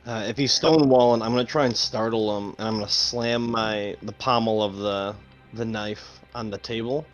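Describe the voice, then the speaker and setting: Low, halting male tabletop player. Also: steady tone, faint music behind, poor audio. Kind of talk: casual game chat.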